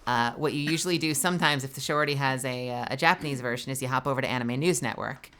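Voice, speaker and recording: A woman voice actor streaming games, chatting casually and telling a story with her low voice, swearing freely. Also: fast. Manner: swearing, storytelling, loud bursts of disbelief. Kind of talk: casual gaming stream chat.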